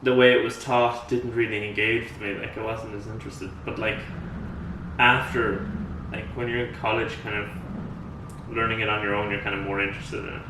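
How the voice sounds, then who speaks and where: A young man from Ireland with an Irish accent, co-hosting a casual podcast and talking in a relaxed, rambling way with many fillers. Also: lowish voice, fairly quick. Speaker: podcast co-host. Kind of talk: casual chat. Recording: echoey room, mic at a distance, faint noise.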